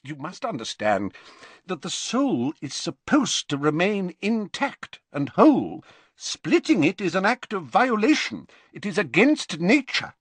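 A man voicing a flustered, uneasy older wizard professor in an audiobook, gruff, faltering and emphatic. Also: high-pitched, huge pitch swings, measured pace, fairly bright tone, no room sound, voice pushed up, heavily acted. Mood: grave, agitated. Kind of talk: audiobook character voice.

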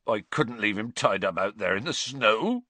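A man voicing a huge, soft-hearted, distraught gamekeeper in an audiobook, with choked, tearful, rustic and ungrammatical speech. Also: fairly low pitch, lively intonation, normal speed, dry recording. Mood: tearful, despairing.

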